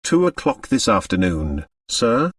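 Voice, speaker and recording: A man's voice: fairly low pitch, very animated, a little slow, fairly bright tone, no echo, clean studio sound, full character voice. A man as a murmuring, deferential informant, slightly distant. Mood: guarded, deferential. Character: deferential, guarded private information agent, quietly evasive. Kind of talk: audiobook character dialogue.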